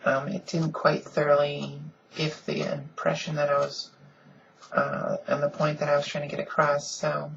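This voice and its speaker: A woman with a deep voice she softens a little, talking earnestly and casually in a home vlog with lots of ums. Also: gravelly, lo-fi sound, a little hiss or hum. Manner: frequent "um"s, earnest and conversational. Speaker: vlogger. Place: at home, in pajamas.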